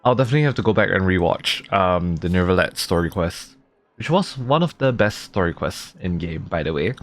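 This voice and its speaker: A man's voice: sits low, expressive, brisk, faint music behind. A man streaming a game with casual, reactive, rambling commentary. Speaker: gaming streamer.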